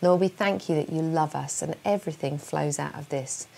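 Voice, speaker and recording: A woman's voice: low-pitched, normal speed, gravelly, warm tone. A woman in her forties giving an earnest, encouraging devotional talk and prayer to young people, recorded on a lapel mic in a small room. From England. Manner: earnest, encouraging, speaks with open hand gestures. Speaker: speaker giving a keynote talk. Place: small room at home, indoors.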